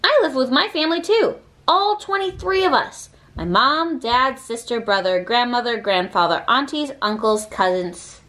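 A woman with a fairly high voice reads a children's picture book aloud. Her pitch swings in a very lively way, and she phrases each short line deliberately, leaving pauses between them. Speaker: read-aloud reader.

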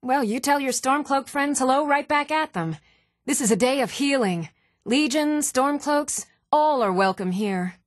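A young townswoman from a fantasy video game, speaks in an even, fairly high voice. She is earnest and polite, with a measured delivery. The sound is dry and studio-clean, free of room echo. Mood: joyful. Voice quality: clear, even-toned. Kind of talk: video game character voice lines.

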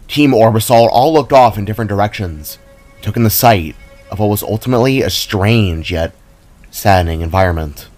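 A man reading scripted fantasy fiction as narrator, in a dramatic storytelling style. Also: lowish voice. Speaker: author and narrator.

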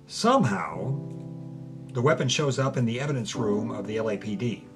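A man's voice: medium pitch, very animated, normal speed, natural voice. A man playing an earnest journalist, narrating a scripted documentary podcast in an audio drama. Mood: sincere. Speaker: host, narrator. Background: music under the voice.

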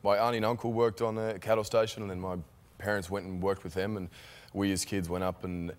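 A young man with an Australian accent, a guest on a talk show, telling rambling anecdotes in a relaxed way and laughing often. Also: lowish voice, a little fast. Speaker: actor guest. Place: television studio. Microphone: guest desk microphone.

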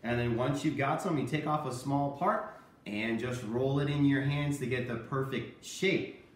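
A man's voice: mid-range voice, moderate pace. Man hosting in a very echoey kitchen. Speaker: host of a children's cooking show. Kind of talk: scripted instructional presenting with puppet banter. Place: home kitchen. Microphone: camera a few meters away. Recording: very echoey room, distant microphone.

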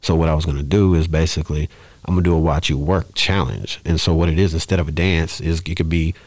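A man's voice: extremely low pitch, steady tone, fast talker, darkish tone, no echo. A man around his thirties, talking casually and rambling through stories on a close studio mic. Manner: rambling storytelling, punctuated with "you know what I'm saying". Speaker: podcast guest, rapper. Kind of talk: casual interview talk. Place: small podcast studio, indoors. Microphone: close studio microphone on arm, headphones.

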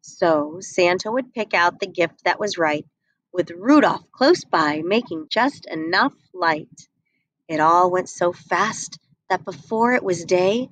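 A young adult woman reading a rhyming picture book aloud at a desk webcam, slow and expressive. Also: medium pitch, dry recording, rough recording. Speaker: storybook reader. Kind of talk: read-aloud storytelling. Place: small indoor room.

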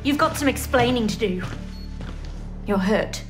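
An actress playing a sharp, sardonic scientist in a video game, blunt and probing. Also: female voice, a little high, expressive, a little slow. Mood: wry, probing. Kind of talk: video game cutscene acting. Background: music.

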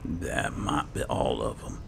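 Very deep, heavily gravelly man muttering. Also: a little slow. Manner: low-key muttering to himself. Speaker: gameplay host. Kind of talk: casual roleplay gaming commentary. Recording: clearly noisy with game ambience. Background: video game ambience.

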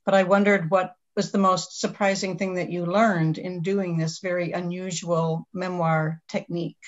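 A woman with a slightly low voice puts a question as an audience member. Her phrasing is casual. The sound is dull and lo-fi, as from a video call. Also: level tone, normal speed.

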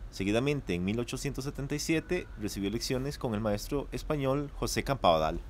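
A man plays a young male student with a Costa Rican accent, earnestly presenting to his class in an educational radio play. His pitch is average and there is slight background noise. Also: expressive, brisk, lightly acted.

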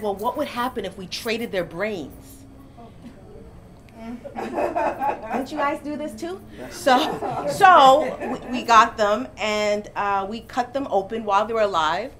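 A middle-aged woman born in Jamaica, a professor answering interview questions in a lively, storytelling way. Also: on the high side. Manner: animated storytelling, gets louder and laughs when amused. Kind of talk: conversational interview. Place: bookstore, seated in armchair indoors.

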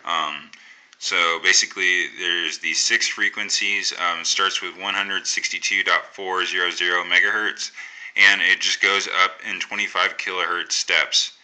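An American man with a very low, smooth voice talks through a hobby tutorial. His tone is strikingly bright and crisp, and he feels his way haltingly through casual explanations. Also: level tone, low-quality recording. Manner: halting, thinking aloud. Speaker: amateur radio hobbyist presenting a tutorial. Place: indoors at a table.

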